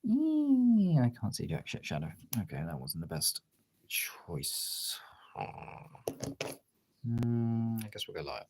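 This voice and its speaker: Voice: medium pitch, huge pitch swings, slow, pauses often, darkish tone. A casual streamer chatting and muttering to themselves while working on art, with some swearing. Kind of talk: casual chat while colouring artwork.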